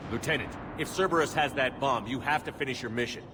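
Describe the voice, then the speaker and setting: A man's voice: mid-range voice, fast, close to an everyday voice. A man playing a tough, resolute human navy commander in a sci-fi game, authoritative and clipped. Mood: stern, urging. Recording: clear vehicle and ambient noise behind.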